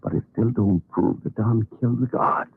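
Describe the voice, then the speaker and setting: A man playing a shrewd, alert cowboy with a Western drawl and a gravelly, husky voice in an old radio western. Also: on the low side, steady tone, moderate pace, a little hiss or hum. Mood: plotting. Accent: American Western drawl. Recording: tinny vintage radio recording.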